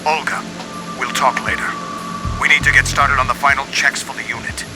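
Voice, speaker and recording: Game villain leader male actor: measured, unbending, commanding, mid register over music. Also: mid-range voice, steady tone, natural voice, poor audio. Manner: measured, imperious. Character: authoritative male terrorist leader, controlled, unbending. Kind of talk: dramatic video game acting.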